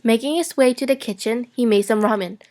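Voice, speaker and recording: A woman's voice: a little high, a little fast, a little mellow. A young woman reading fan fiction narration aloud as an amateur, playful and prone to giggling.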